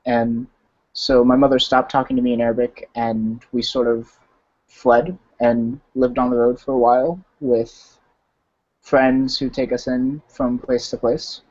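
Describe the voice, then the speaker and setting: Young american guy, like 18, talking casually and haltingly on a lo-fi online call. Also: mid-range voice, level tone, a little mellow. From Arizona, United States. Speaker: discussion participant, college student. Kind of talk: casual personal sharing in online discussion. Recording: dull, lo-fi video-call audio.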